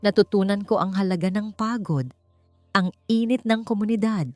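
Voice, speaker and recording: A woman voicing a calm, resolute grown child who defies a powerful father in a story. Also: medium pitch, normal speed, pitched above the performer's own voice, natural voice. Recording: music bed behind the voice.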